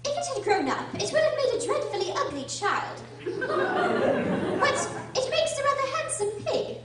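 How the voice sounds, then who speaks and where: A woman playing a polite, curious and earnest little girl with a prim, expressive delivery in a storybook radio drama. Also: extremely high pitch, normal speed, exaggerated voice. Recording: very echoey room, distant mic.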